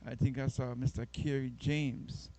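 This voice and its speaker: A man calls a youth soccer game with a gravelly, mellow voice. He chats and laughs with his co-commentator as the play unfolds. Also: medium pitch, little pitch movement, measured pace. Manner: chatty, laughs easily, trades banter with co-commentator. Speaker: sports commentator.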